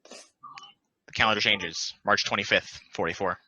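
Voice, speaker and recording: Very bright, crisp-edged male narrator. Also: on the low side, steady tone, measured pace, no room sound, poor audio. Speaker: narrator reading stage directions. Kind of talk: scripted narration at play table read.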